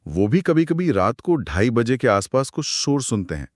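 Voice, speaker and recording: A man co-hosting a podcast, retelling a horror story in a dramatic, suspenseful way with pauses. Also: mid-range voice, very animated, a little mellow, no room sound, studio quality. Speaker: podcast co-host.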